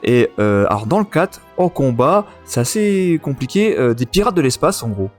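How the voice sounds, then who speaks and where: A man's voice: mid-range voice, big pitch swings, normal speed, darkish tone. A man chatting casually and enthusiastically as host of a retro gaming podcast. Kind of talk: casual podcast chat about retro video games. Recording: music playing behind the voice.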